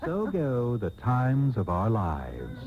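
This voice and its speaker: Tinny radio tape, music behind, grandiose serial narrator, mock-solemn, hugely swooping delivery. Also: male voice, mid-range voice, wildly swooping pitch, slow, exaggerated voice. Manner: mock-solemn, florid, dramatic. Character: grandiose male soap opera narrator.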